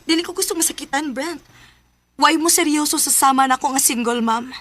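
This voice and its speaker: A woman's voice: high-pitched, a little fast, fairly bright tone, dry recording. An actress playing an anxious, tender young single mother in a Filipino radio drama, with a Filipino accent and emotional, hesitant delivery. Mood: sad, resolute.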